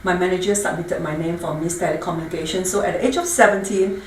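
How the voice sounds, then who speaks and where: A woman's voice: fairly low pitch, fairly even intonation, fast, a little hiss or hum. An older woman from Singapore telling her life story in an earnest, storytelling way as an interview guest, recorded from a distance in a roomy space. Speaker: business owner guest.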